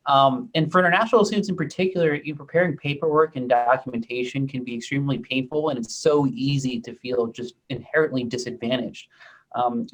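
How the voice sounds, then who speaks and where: A man's voice: medium pitch, poor audio. Young man, thoughtful, full of ums. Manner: thoughtful, with many ums and restarts. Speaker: startup co-founder. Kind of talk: panel interview answers.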